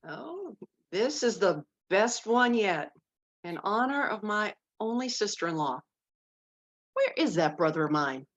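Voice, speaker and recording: A woman's voice: mid-range voice, big pitch swings, measured pace. A woman playing a plainspoken, tender-hearted aunt in mourning, folksy and motherly, in a dramatic script read with slightly muffled sound. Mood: fond.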